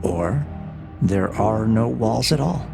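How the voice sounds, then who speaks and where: Man playing a gravelly, coldly calculating interrogator AI. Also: on the low side, expressive, music in the background, heavily acted. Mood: clinical taunting. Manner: measured, probing, condescending. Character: cold, calculating interrogator AI, clinical and menacing. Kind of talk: scripted audio drama dialogue.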